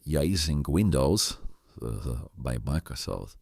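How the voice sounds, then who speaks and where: A man with a non-native English accent hosting an interview chat, halting and stammering, often repeating words. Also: rumbling low, expressive, vocal fry, darkish tone, dry recording, clean studio sound. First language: not English. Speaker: host.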